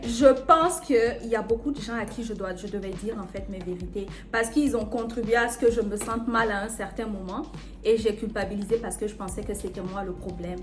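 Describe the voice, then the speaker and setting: A woman's voice: medium pitch, brisk. A young woman chatting casually and candidly as a video co-host, emphatic, repeating herself to insist. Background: music.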